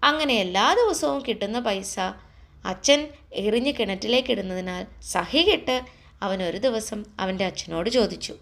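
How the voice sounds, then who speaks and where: A woman narrating a children's story expressively, with dramatic pauses and questions put to the listener. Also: mid-range voice, expressive, gravelly, a bit bright.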